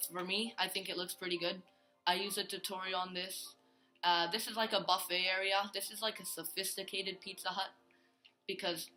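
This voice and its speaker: A man's voice: high voice, pushed and bright. A male gaming host giving a casual, rambling tour of a Minecraft build, full of fillers and restarts.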